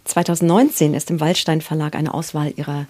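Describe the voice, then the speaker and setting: A woman hosting a literary interview, putting prepared questions in articulate, measured, literary phrasing. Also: sits low, steady tone, dark tone. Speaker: interviewer, host.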